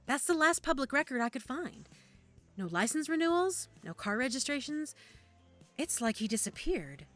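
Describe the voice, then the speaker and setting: A woman's voice: high voice, very animated, moderate pace, fairly bright tone. A woman acting in an audio drama as a cautious, helpful medical examiner, with a conversational, slightly hesitant manner. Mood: matter-of-fact. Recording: music under the dialogue.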